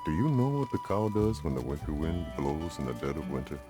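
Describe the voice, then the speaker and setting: An actor playing a wise, resolute older Lakota uncle in a video game, speaking gravely and deliberately. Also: male voice, extremely low pitch, very animated, over music. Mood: wise, steady. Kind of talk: scripted game cutscene acting.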